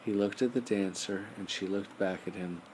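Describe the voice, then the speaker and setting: A man narrating a children's bedtime story in a measured storybook style, as an audiobook reader. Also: low-pitched, steady tone, darkish tone. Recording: noisy amateur home recording.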